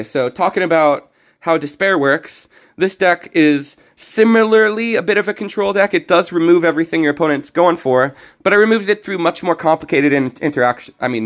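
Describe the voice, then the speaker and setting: A young man streaming a card game, talking casually and rambling with many restarts, his voice slightly hoarse. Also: a little high. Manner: rambling, self-correcting. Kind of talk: casual gameplay commentary.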